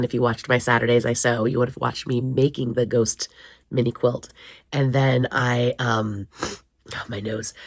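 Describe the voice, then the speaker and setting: A woman with a scratchy, croaky voice from a head cold, chatting casually to camera in her basement craft room. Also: very deep voice, no room sound. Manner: chatty, rambling, with frequent ums and small laughs. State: hoarse, congested from a head cold. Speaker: crafting vlogger.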